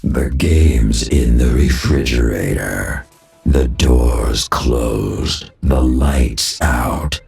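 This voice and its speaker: An actor playing a big, scary, sinister killer from a game in a cavernous growl. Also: male voice, extremely low pitch, drawn-out words, vocal fry, a little mellow, soft background music, heavily acted. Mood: ominous.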